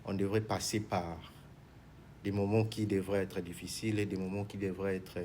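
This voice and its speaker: A man's voice: deep voice, flat delivery, moderate pace, dark tone, slight background noise. A man, a manager and minister answering in a TV talk show interview, hesitant and mixing in Lingala. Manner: hesitant, many restarts, mixes in Lingala.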